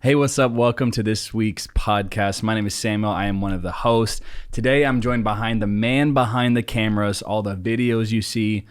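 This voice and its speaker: Give me a young male podcast host, relaxed and slangy, slightly low voice. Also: moderate pace, few pauses, slight background noise. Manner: laid-back slangy banter. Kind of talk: casual chat.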